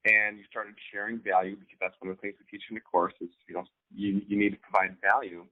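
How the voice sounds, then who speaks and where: Phone-like sounding man, halting informal coach. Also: fairly low pitch, flat delivery. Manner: halting, repeats words, often checks in with "right?". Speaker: co-host, online business coach. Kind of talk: informal instructional talk. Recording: thin, phone-like band-limited sound.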